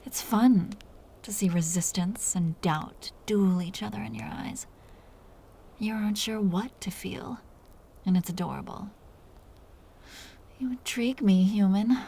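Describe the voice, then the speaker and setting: Woman playing a slow, whispery seductive vampire captor. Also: mid-range voice. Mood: teasing, amused. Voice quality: breathy, whispery. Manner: slow, teasing, softly condescending. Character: seductive dominant female vampire captor, amused and predatory. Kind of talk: intimate whispered roleplay audio.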